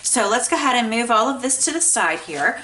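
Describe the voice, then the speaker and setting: Bright-voiced, chatty woman, a bit congested. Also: on the high side, lively intonation, brisk. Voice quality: a little scratchy. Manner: chatty, enthusiastic. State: congested from a sinus infection. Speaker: crafting tutorial host, card maker. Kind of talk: casual how-to craft demonstration.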